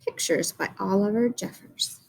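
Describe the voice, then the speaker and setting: A woman's voice: mid-range voice, moderate pace, creaky, poor audio. A woman reading a picture book aloud to children, pointing things out and asking questions as she goes. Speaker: children's story reader.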